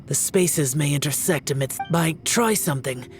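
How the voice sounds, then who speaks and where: A woman's voice: sits low, moderate pace, lightly acted. A woman voicing an aloof, stoic young male demon slayer in a video game, terse, brooding and weary. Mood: guarded, terse. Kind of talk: English game dub voice acting. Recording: game music clearly behind the voice.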